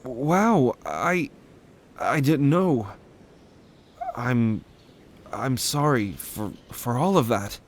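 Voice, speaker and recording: A man playing a gentle, hesitant young half-blood in a roleplay audio drama, speaking in a halting, earnest way. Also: medium pitch, expressive, a little hiss or hum. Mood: shaken, sympathetic.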